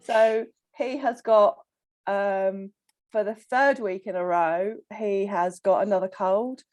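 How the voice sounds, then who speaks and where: A woman on a casual coaching call, croaky from illness, chatting with frequent ums and laughs. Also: mid-range voice, fairly even intonation, slow pace, darkish tone, no room sound. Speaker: coaching client.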